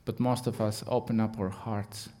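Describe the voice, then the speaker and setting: A man with a Latvian accent, Latvian his first language, preaching a sermon as a guest in halting English, earnest and full of fillers. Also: lowish voice, flat delivery, dark tone.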